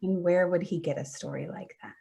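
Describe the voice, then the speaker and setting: A woman's voice: on the low side, brisk, vocal fry, dark tone. Woman playing Danielle, wounded, accusing grown daughter. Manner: accusatory, insistent. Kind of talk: staged play reading.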